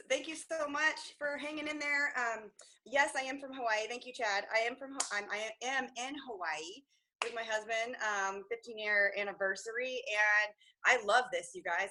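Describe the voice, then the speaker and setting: A woman nutrition coach giving energetic, upbeat advice on a group call, often checking in with the listeners. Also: on the high side, poor audio.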